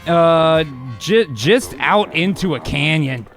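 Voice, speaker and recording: A man's voice: on the high side, lively intonation, slow, fairly bright tone, soft background music. A man hosting and narrating an improvised radio drama, switching between theatrical storytelling and hyped, sweary casual talk. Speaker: host and narrator.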